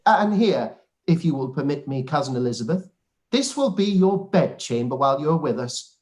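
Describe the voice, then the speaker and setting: A man's voice: fairly high pitch, sing-song, moderate pace, darkish tone. Man acting a pompous, fawning clergyman. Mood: fawning, proud. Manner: pompous, effusive, wordy. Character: pompous, fawning male clergyman cousin, self-satisfied and obsequious. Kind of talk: radio play live read.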